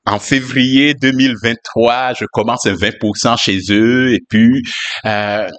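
Man, pastor, personal testimony, reflective, hesitant, frequent fillers, average pitch. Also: fairly even intonation, unhurried, a bit bright.

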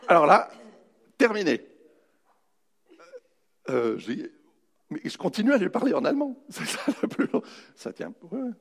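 A man giving a humorous, anecdotal motivational talk, telling his story with dramatic pauses. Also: high voice, huge pitch swings, gravelly, breathy edge. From raised bilingual French-German, in a French-German family. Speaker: motivational speaker. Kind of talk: anecdotal public talk to an audience.

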